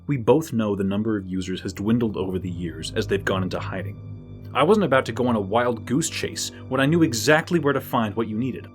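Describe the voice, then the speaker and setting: A man's voice: fairly low pitch, a little fast, faint music behind, close to an everyday voice. A man playing a self-assured, insolent human bounty hunter in an audio drama, smug and defiant.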